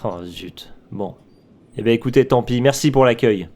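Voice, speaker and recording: A man's voice: medium pitch, moderate pace, a little mellow, lightly acted. A man playing a bewildered, mildly exasperated traveller in a comedic audio drama, with a bemused, sarcastic manner. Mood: resigned.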